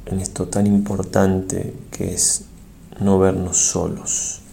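A man, a priest, leads a guided prayer meditation in a solemn, reverent and measured way, pausing between short phrases. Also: deep voice, little pitch movement, creaky, dark tone, slight background noise.

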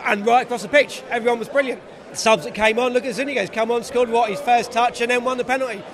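A hoarse man chatting about football outdoors, confident and upbeat. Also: very high voice, steady tone, fast. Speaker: guest fan. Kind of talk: casual football chat. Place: outdoors by a stadium. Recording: crowd noise behind.

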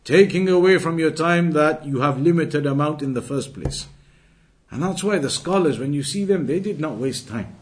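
A man's voice: a little high, normal speed, a little mellow. A man with a British accent giving an Islamic lesson as a teacher, repeating phrases for emphasis and explaining with everyday analogies. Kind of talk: religious lecture.

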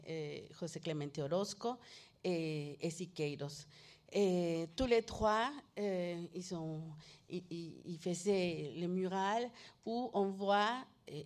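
Woman lecturing in halting French. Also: fairly low pitch, a little mellow. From Mexico. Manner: halting, frequent restarts and self-corrections in a second language. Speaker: guest lecturer, artist. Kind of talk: lecture with slides.

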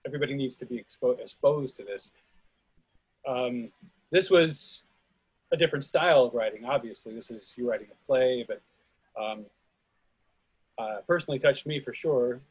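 A middle-aged man giving informal feedback on a play reading in a halting, thoughtful, sincere way. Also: mid-range voice, slightly rough, poor audio.

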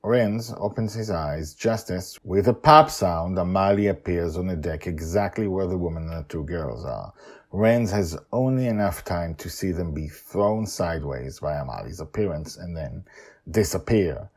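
Animated deep-voiced male podcast storyteller. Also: normal speed. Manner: animated, chatty asides.

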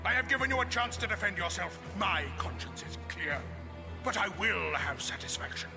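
A proud, vengeful gladiator in an audio drama, pitched high over prominent music. He declaims his threats with theatrical menace, set on a duel to the death. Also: very animated, moderate pace. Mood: cold menace.

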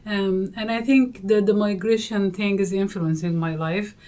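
A woman from Egypt, a guest expert on migration, talking in a casual podcast conversation in measured, short phrases. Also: medium pitch, steady tone, warm tone.

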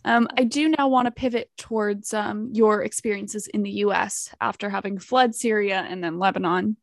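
A woman hosting an interview, asking careful, measured questions with soft filler pauses. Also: mid-range voice, steady tone, creaky, dry recording, clean studio sound. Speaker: podcast host.

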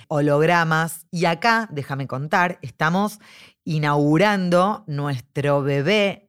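A woman's voice: sits low, smooth. Upbeat Argentine woman in an interview. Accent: Argentine Spanish. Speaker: interviewee, immersive dome cinema organizer. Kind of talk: casual interview.

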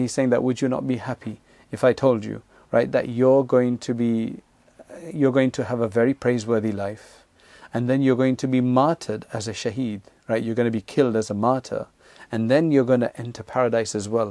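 Guy giving an islamic talk, gravelly low-key voice, chatty asides, dry close sound. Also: steady tone, a little fast, warm tone, no room sound. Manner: conversational, explains Arabic quotes in English with frequent asides. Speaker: Islamic teacher. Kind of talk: religious lecture retelling hadith.

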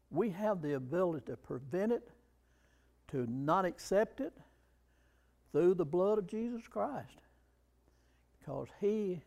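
A man's voice: a little high, gravelly, darkish tone. A man preaching a sermon, emphatic and fond of rhetorical questions to the congregation.

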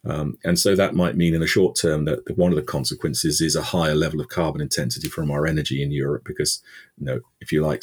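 Very deep-voiced husky British man. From United Kingdom. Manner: thoughtful, many "you know" fillers and restarts. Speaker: energy expert guest. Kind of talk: podcast interview answer.

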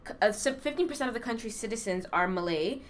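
A woman in casual conversation, talking relaxed and hesitant with many ums. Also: medium pitch, quick pace, slight background noise. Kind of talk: casual chat.

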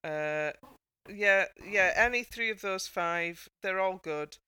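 A woman's voice: mid-range voice, slow pace, sharp tone, clear, resonant tone, no echo, studio quality. A woman hosting a casual panel chat in a chatty, wry manner. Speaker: podcast host.

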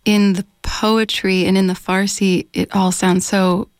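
Chatty woman, flat even voice, dry studio. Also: medium pitch, unhurried, clean tone, dry recording. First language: English. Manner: chatty, laughs often, searching for words. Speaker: singer-songwriter guest. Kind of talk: casual radio interview. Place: radio studio.

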